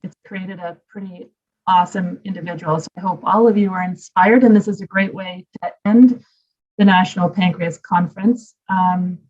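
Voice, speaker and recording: A woman hosting a conference, giving an informal spoken introduction in a halting, hesitant way, in dull low-fidelity audio. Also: steady tone, a little mellow. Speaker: conference host introducing a speaker.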